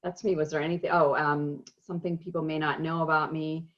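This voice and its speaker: Low, even-toned woman on muffled call. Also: brisk. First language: Arabic. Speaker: panelist, marketing executive. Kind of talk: conversational self-introduction. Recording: dull, muffled online call audio.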